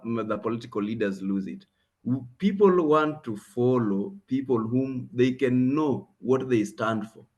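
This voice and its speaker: A man with a Kenyan accent and a mid-pitched voice moderates a session in a conversational style. He moves haltingly through his remarks, often repeating words to drive them home. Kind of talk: conversational moderating of an online talk.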